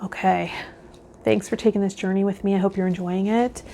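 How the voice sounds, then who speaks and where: A woman hosting a tarot vlog, speaking in a measured, instructive way straight to the listener. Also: mid-range voice, steady tone, creaky, mellow, slight background noise. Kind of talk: reading tarot card meanings aloud, then casual guided talk to viewers.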